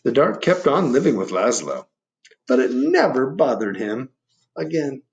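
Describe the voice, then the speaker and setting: A man reading a children's story aloud, expressive, gently prompting young listeners with questions and asides. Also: mid-range voice, unhurried, gravelly, dull audio. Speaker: picture book reader.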